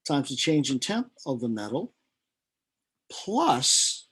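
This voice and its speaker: A man's voice: mid-range voice, husky. A man teaching chemistry in a recorded lecture, walking through a lab calculation in a conversational way, with fillers, self-corrections and dry asides about himself. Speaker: chemistry instructor.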